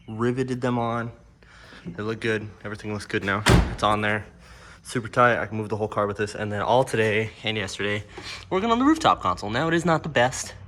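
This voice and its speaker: A man's voice: lowish voice, sharp tone. A man giving an easygoing, unscripted show-and-tell of a DIY project in an offhand, casual way. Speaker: vlogger showing a DIY car build. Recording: clear background noise and ambience.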